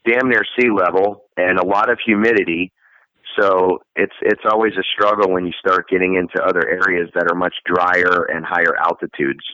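A man's voice: sits low, steady tone, normal speed, bright, forceful tone, smooth, dry recording, dull audio. A man from Florida, a singer, answering interview questions in a loose, chatty way and laughing often as he talks. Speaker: guest singer.

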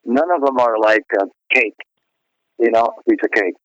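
A man's voice: medium pitch, normal speed, fairly bright tone, dry recording. A middle-aged male singer being interviewed, chatting casually and rambling with lots of "you know" fillers and restarts. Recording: dry, dull lo-fi radio sound.

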